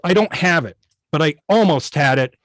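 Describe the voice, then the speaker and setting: Guy guest on a video chat, voice a bit high, keen hobbyist, no echo. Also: expressive, normal speed, fairly bright tone. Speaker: guest, old-time radio station operator. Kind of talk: casual video call chat. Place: indoors at home on a video call.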